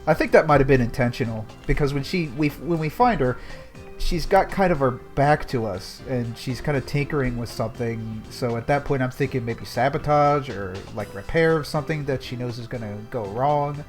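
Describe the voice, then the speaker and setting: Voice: medium pitch, normal speed, faint music behind. A podcast co-host chatting casually about a story and sometimes joking. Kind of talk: casual chat recapping a visual novel.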